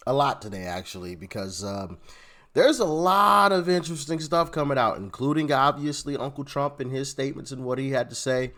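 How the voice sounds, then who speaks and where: A man hosts an opinionated commentary show in a casual, slangy way. His pitch moves around in a lively, animated manner, and he often drops informal asides to the listener. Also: fairly quick.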